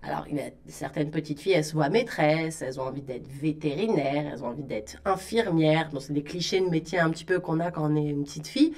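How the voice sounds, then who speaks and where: A woman's voice: deep voice, quick pace, few pauses, darkish tone. Chatty Parisian woman radio host. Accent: Parisian French. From France. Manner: chatty, friendly questions. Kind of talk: radio interview.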